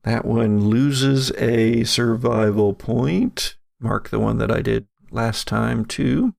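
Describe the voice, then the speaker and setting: Gravelly-voiced male game master, dry close sound. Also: medium pitch, slow, a little mellow, no room sound. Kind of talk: narrating a tabletop horror game, conversational.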